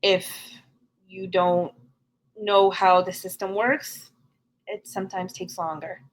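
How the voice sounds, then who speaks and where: Slow, frank-talking woman guest. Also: mid-range voice, fairly even intonation. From Canada. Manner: earnest, frank and plain-spoken. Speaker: podcast guest. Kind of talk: candid conversational interview.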